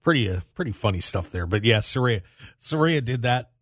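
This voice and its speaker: A man's voice: medium pitch, expressive, brisk, no echo, studio quality. A man hosting a sports talk radio show in a casual, conversational way, addressing his listeners directly.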